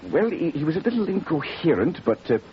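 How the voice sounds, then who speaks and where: A dull, faintly hissy vintage radio recording presents an earnest male doctor. He sounds worried and proper, pausing and hesitating as he gives his careful account. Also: a little high, big pitch swings. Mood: grave, urgent. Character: earnest, worried male country physician seeking help. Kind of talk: 1940s radio drama acting.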